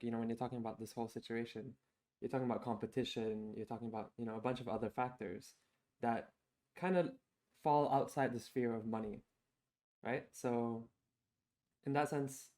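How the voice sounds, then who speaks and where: A man's voice: medium pitch, steady tone, a little fast, a little mellow. A man in his twenties chats on a podcast, working through his points as he goes. He restarts and hedges, dropping fillers along the way. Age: 20s. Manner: thinks aloud, many restarts and "like". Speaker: podcast co-host. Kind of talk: casual podcast chat.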